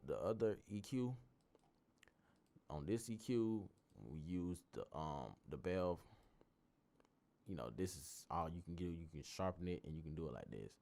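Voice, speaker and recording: Young guy w a real low bassy voice, chill rambly tutorial talk, keeps restarting his sentences. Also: vocal fry, a little mellow. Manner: loose, rambling explanations with frequent restarts. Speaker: tutorial host. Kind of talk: casual screen-recorded tutorial.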